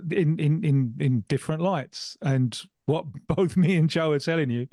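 A man's voice: a little high, moderate pace, mellow, dry recording, clean studio sound. A man on a radio panel, emphatic and dismissive, stumbling over his starts.

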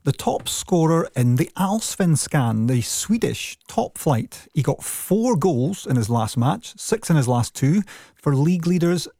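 A male sports reporter delivers a scripted news item in a measured, informative manner. His voice sits slightly high, with a gravelly edge and a dark tone. The recording is dry and close. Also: very animated, no echo.

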